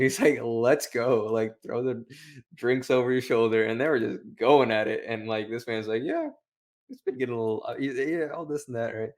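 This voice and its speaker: A man's voice: mid-range voice, a little mellow. Man chatting in rambling, slangy style. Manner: rambling, heavy use of "like". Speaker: book review show co-host. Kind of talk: casual, slangy chat, retelling and commenting.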